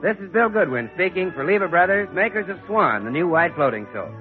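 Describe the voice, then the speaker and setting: Male 1940s radio announcer, American, keen sales patter, lightly high-set voice, thin vintage broadcast sound. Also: big pitch swings, a little fast, loud music behind the voice. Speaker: radio announcer and show regular. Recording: tinny, narrow-band vintage radio recording.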